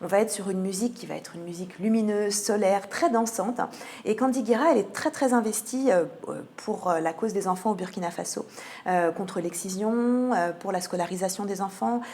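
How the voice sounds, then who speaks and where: A woman answering in an interview as a theatre programming manager, often pausing mid-sentence as she presents a programme. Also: mid-range voice, dark tone. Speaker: theatre cultural programming manager, radio guest.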